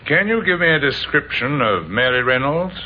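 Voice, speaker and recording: A man's voice: a little high, lively intonation, moderate pace, close to an everyday voice. An actor playing a polite, methodical Scotland Yard inspector in an old-time radio drama, courteous and a little hesitant as he questions. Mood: courteous, probing. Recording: dull, noisy vintage radio recording.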